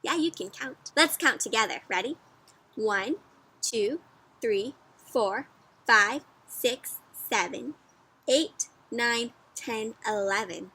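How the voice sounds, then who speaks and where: A woman doing an interactive storybook read-aloud for young children, animated and teacherly, often prompting her listeners with questions. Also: fairly high pitch, slow, husky, poor audio.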